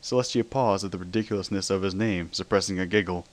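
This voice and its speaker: Low-voiced man narrating a comic story. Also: fairly even intonation, fast. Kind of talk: dramatic reading of comic fan fiction.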